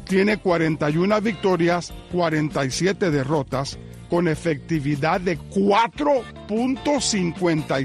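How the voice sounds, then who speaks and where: A man hosting a sports radio show, measured as he introduces segments and reads out stats. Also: sits high. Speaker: radio host.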